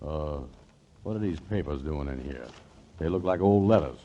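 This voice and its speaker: A man playing a gruff, slow-witted sidekick in a 1940s radio comedy, grumbling bluntly in ungrammatical speech. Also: a bass voice, animated, dark tone. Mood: doubtful. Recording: muffled, hissy 1940s radio recording.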